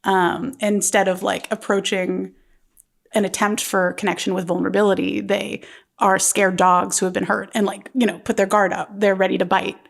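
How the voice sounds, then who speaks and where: Female speaker for informal panel talk; creaky voice, feels her way through thoughts. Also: mid-range voice, little pitch movement, normal speed. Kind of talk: casual panel discussion.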